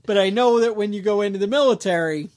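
A man with a notably high-pitched voice co-hosts a casual podcast. He tells the story in a wisecracking, lively way and slips into quick character voices along the way. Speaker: podcast co-host.

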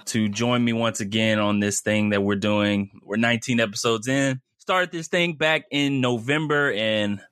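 A man's voice: mid-range voice, fairly bright tone, clean tone, no room sound, clean studio sound. A man co-hosting a casual sports podcast, talking in an easygoing, friendly way. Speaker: podcast co-host.

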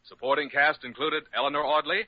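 A male 1940s radio announcer with a husky, fairly high voice and animated scripted delivery. The broadcast recording is muffled, with faint music underneath. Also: brisk.

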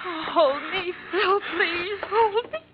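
Anxious young adventuress, high voice, imploring, radio melodrama, dull crackly old recording. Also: female voice, takes it slowly. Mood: distraught, clinging. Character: anxious, pleading young female adventuress in trouble. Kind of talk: 1940s-50s radio melodrama acting.

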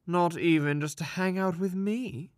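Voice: mid-range voice, measured pace, natural voice. A performer playing a teasing, sceptical sidekick to a storyteller in comic fantasy banter. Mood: playful.

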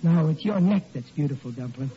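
A man acts out a blustering, henpecked middle-aged husband in an old radio comedy. His high voice swoops about as he stammers in exasperation, heard through a muffled, noisy old recording. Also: animated, a little fast, natural voice. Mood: nervous, flattering. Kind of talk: 1940s radio comedy acting.

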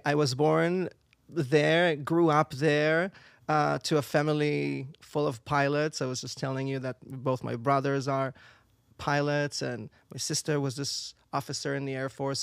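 A man's voice: fairly high pitch, gravelly, clear, resonant tone, no echo, studio quality. A man from Israel, a native Hebrew speaker, chatting casually as an interview guest, rambling and searching for words. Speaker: interview guest, singer and performer. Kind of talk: casual chat.

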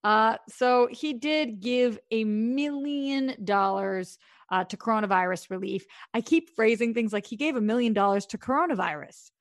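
A woman's voice: a little high, moderate pace, no room sound, clean studio sound. A woman co-hosting an entertainment news podcast, chatting casually and reading quotes aloud with frequent fillers. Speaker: podcast co-host.

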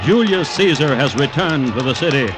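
A man narrates history like a classic radio showman, in short, theatrical phrases with a wry edge. Music plays clearly behind his voice on an old radio recording. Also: medium pitch, animated, normal speed. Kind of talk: dramatized radio history narration in mid-century newsreel manner.

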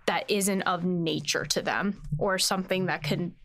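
A woman's voice: fairly low pitch, steady tone, a little slow, no room sound. A woman hosting a casual behind-the-scenes chat as a writer, talking informally with lots of "like" and "you know". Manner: chatty, informal, says "like" and "you know" often. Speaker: host, series writer.

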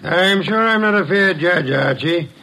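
Vintage radio drama imperious detective boss, high-pitched, bright and forceful, pompous and dismissive, noisy old recording. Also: male voice, creaky, full character voice. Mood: formal, probing. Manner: pompous, formal, deliberate diction. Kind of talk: old-time radio mystery acting.

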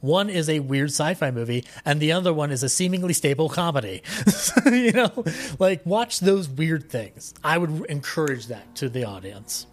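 A man's voice: a little high, animated, normal speed. A man chatting casually as a podcast guest, rambling with enthusiasm and swearing now and then, with some noise behind him. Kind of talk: casual interview chat.

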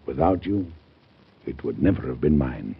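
A man's voice: a bass voice, expressive, moderate pace, husky, full character voice. An American man acting an earnest, formal, beleaguered but resolute president in an old-time radio drama. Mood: grateful, respectful. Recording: muffled old radio show recording.

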